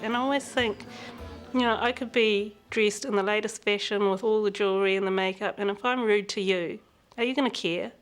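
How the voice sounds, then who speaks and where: A woman with a New Zealand accent, speaking candidly and reflectively as an interview guest, quick to laugh. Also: medium pitch, faint music behind. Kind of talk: frank personal conversation.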